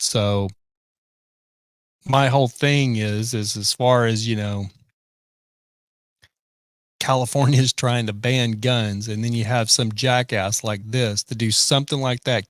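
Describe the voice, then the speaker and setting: A man's voice: mid-range voice, no echo. Guy hosting a live podcast from his office, rambly and casual, dry room. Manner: rambling, reads viewer comments. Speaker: podcast host. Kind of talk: casual live-stream chat. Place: home office.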